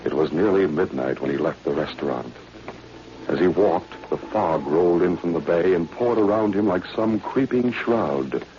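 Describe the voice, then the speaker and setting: A man's voice: deep voice, animated, moderate pace. A man narrating a scripted drama in dramatic 1940s radio style. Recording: muffled, noisy old-time radio recording.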